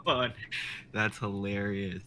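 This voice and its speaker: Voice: low-pitched, fairly even intonation, takes it slowly, bright, forceful tone. A young adult co-hosting a livestream in casual chat. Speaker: livestream co-host. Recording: background noise, livestream audio.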